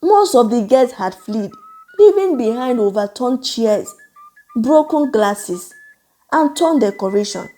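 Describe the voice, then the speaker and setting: A woman narrating a scripted folktale in a measured, suspenseful, dramatic way. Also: a little high, lively intonation, dark tone, faint music behind. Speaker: storyteller, narrator.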